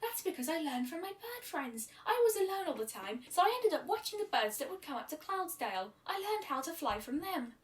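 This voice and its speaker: A woman's voice: very high voice, big pitch swings, brisk, hardly any pauses, voice pushed up. A woman voicing a shy young female pegasus pony in a fan fiction story, timid and easily flustered. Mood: brightened, shy. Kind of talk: amateur fan fiction reading. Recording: roomy echo, distant microphone.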